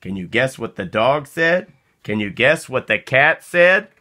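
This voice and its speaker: A man narrates a children's storybook aloud. His voice is bright and very lively in pitch, and he takes his time, phrasing each line expressively and deliberately. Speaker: storybook reader.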